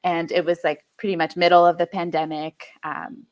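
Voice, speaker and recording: A woman in her late twenties from Quebec, a native French speaker, chatting as a podcast guest in a relaxed way with lots of fillers. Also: fairly low pitch, normal speed. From Quebec, Canada. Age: 20s. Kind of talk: casual conversation.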